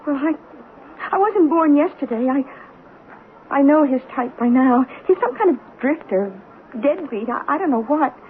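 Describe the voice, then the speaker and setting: Casting: a jittery, streetwise yet timid girl for vintage radio drama, high voice, halting slangy delivery. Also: animated. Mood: anxious, wary. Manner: hesitant, stammering, plaintive slangy speech. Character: nervous, wary young single woman, streetwise but timid. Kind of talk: 1970s radio drama acting. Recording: muffled, hissy vintage radio broadcast.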